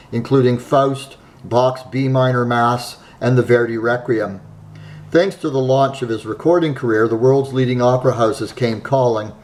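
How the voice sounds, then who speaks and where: A man hosting a show, reading a scripted narration in a measured, admiring way. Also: mid-range voice, a little mellow. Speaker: host.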